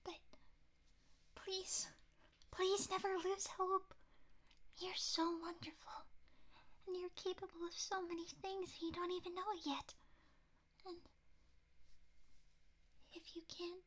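A woman plays a doting, obsessive young intruder in a whispered audio roleplay. She breathes every word in a very high, soft whisper that barely rises or falls. She talks slowly and stumbles over her restarts. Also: level tone, exaggerated voice. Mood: pleading.

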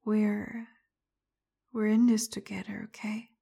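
A woman speaking straight to the listener, first frustrated and confrontational, then soft, apologetic and tender, much of it in a breathy whisper. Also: mid-range voice, flat delivery, slow. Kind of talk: roleplay audio addressed to the listener.

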